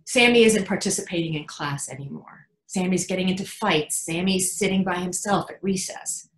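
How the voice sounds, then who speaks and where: A woman playing an anxious, protective mother in a frank, wry, confiding dramatic monologue, pausing for emphasis. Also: fairly low pitch, natural voice. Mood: worried. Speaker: actor in a readers theatre performance. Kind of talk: dramatic stage monologue, conversational storytelling. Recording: echoey room sound.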